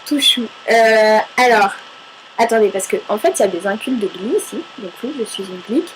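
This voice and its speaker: Woman from Paris, fairly high voice, casual chat to camera, self-mocking, talks back to herself. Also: normal speed, slight background noise, rough recording. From Paris, France. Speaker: YouTuber answering viewer questions.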